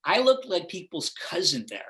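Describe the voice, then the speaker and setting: A middle-aged man with a relaxed Californian accent talks as a guest on an interview show, meandering through his thoughts and checking in with "right?". His voice is bright and sits a little high for a man. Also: normal speed. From Los Angeles, USA. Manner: rambling, full of "like" and "right?". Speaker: guest actor. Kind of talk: casual interview chat.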